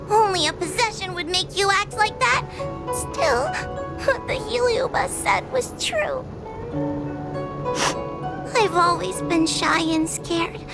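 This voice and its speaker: A very high, fragile girl's voice for a video game ghost hunter. She is shy and full of self-doubt, speaking slowly in a tearful whimper over clearly audible music. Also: heavily acted. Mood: tearful, apologetic. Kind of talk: video game cutscene acting.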